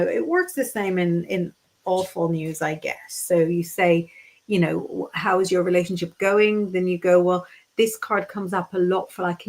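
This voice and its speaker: Woman from the UK, tarot reader, talkative and animated, reads comments aloud. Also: mid-range voice, poor audio. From United Kingdom. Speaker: psychic tarot reader, guest teacher. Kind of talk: casual livestream teaching chat.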